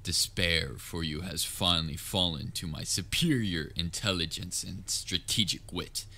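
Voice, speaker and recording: A man's voice: sits low, fairly even intonation, measured pace, sharp tone, exaggerated voice. A man playing a theatrical, pompous supervillain with dry sarcasm in a comedic audio roleplay. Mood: gloating, grandiose. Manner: pompous, gloating, dryly sarcastic. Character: theatrical male supervillain, pompous yet secretly concerned nemesis. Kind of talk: scripted audio roleplay, comedic villain monologue.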